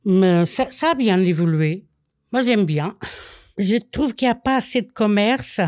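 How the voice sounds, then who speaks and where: A woman in her sixties, born in Portugal, speaking as a local resident in an interview, pausing often with fillers and restarts. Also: mid-range voice, expressive, a little mellow, no echo, clean studio sound. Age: 60s. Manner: hesitant, many fillers and restarts. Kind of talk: interview testimony.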